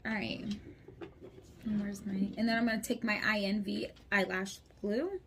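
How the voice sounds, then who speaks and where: Woman, 30s, congested, crisp bright tone, chatty and giggly, close camera, faint hiss. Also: mid-range voice, fairly even intonation, normal speed. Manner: chatty, giggly, talks while applying makeup. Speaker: beauty vlogger. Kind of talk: casual makeup tutorial. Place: small room at home, indoors. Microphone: camera close in front.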